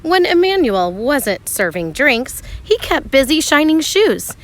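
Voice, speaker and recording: Lady reading a kids picture book out loud, really lively pitch, pauses for drama. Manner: measured storytelling with dramatic pauses and emphasis. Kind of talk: expressive storybook read-aloud narration for children.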